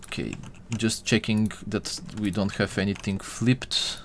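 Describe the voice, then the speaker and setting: A man's voice: lowish voice, steady tone, unhurried, noisy background. A young man from Bulgaria chatting with his live-stream viewers while he models, full of fillers and restarts.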